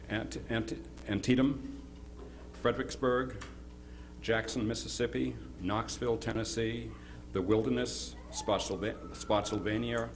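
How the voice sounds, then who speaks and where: A man giving an informal historical introduction as presenter, halting and often repeating words as he goes. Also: fairly low pitch, a little hiss or hum. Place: live presentation before an audience.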